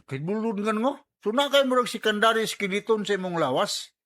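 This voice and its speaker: A man's voice: high voice, expressive, fairly quick, a bit bright. A man with a Cebuano accent giving conversational advice as a radio host, with joking asides and some English mixed in.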